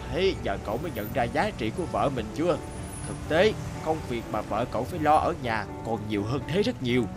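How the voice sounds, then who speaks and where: Casting: male actor for level-headed cartoon colleague, earnest, measured explainer, music behind the voice. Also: mid-range voice, animated, natural voice. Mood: earnest, reproving. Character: patient, sensible male office colleague. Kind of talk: animated moral-tale voice acting.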